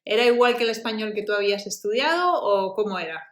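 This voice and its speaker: A woman's voice: mid-range voice, animated, fast, a bit bright. A woman hosting a language channel, interviewing a guest with friendly, encouraging questions. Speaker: host and language teacher. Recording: echoey room.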